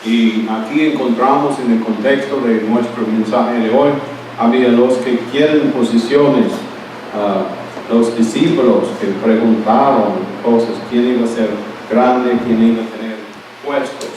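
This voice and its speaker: A male pastor reading scripture, praying and preaching in a halting way, often restarting his words because Spanish is his second language, at a morning church service. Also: medium pitch. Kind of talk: sermon with scripture reading and prayer. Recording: echoey room, mic far off, steady background noise.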